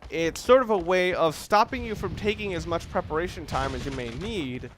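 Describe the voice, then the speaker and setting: A man's voice: high voice, moderate pace, a bit bright. A young man giving casual, rambling commentary over a video game, correcting himself and swearing when caught off guard. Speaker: let's play commentator. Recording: game sounds and noise behind voice.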